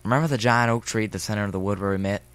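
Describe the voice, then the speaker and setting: A man acts a seventeen-year-old boy in an audio drama. His voice sits on the low side. He speaks earnestly and haltingly, like someone with something important to tell. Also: fairly bright tone, smooth. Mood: earnest confession. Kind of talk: fan audio drama acting.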